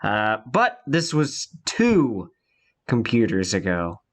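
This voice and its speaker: A man's voice: on the low side, huge pitch swings, slow. A congested young man telling a rambling personal story in a casual vlog, with many fillers and restarts. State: congested from a cold.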